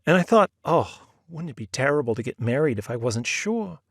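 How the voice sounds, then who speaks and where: A man's voice: fairly high pitch, big pitch swings, normal speed, husky, dry recording, studio quality, voice pushed up, heavily acted. A man doing a flustered ashamed young woman. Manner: breathless, apologetic, gushing.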